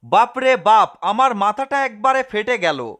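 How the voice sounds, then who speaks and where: A man's voice: sing-song, measured pace, exaggerated voice. A man voicing a greedy, mischievous cartoon cat in a comedy dub, with melodramatic pleading and gloating. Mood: distressed. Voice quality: high-pitched, sharp. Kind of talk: fan-dubbed cartoon comedy.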